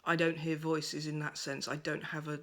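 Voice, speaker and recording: A woman giving a gentle, thoughtful monologue at home by a window, her voice soft and at times close to a whisper. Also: deep voice, flat delivery, normal speed. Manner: soft-spoken, thoughtful, drifts into near-whispers. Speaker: pagan speaker sharing reflections. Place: at home by a window over a garden.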